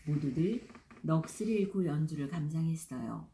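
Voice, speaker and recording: An older woman narrating a children's storybook in an expressive, dramatized way. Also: low-pitched, normal speed. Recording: roomy echo, faint background noise.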